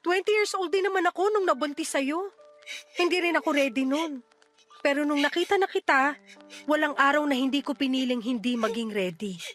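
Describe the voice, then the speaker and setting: Devoted joking mother, Filipino accent, high voice, expressive radio drama delivery, light music bed. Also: a little fast. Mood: insistent, fond. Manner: breezy, teasing, expressive. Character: feisty, joking, devoted single mother, around forty.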